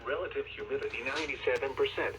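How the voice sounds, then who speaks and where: A man's measured, impersonal voice reading a scripted weather bulletin through a radio speaker. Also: medium pitch, over a noisy crowd. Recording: muffled, noisy weather radio broadcast.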